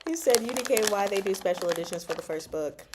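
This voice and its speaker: A woman's voice: medium pitch, expressive, fast talker, very noisy. A woman hosting a casual book discussion stream, rambling and playful as she thinks aloud and answers viewers. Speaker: host of a book discussion livestream.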